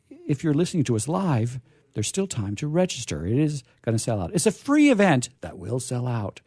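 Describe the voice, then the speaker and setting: A man's voice: lowish voice, mellow, no echo, clean studio sound. A middle-aged or older man hosting a radio show, chatting casually and enthusiastically in a rambling, self-deprecating way. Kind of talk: casual unscripted event chat.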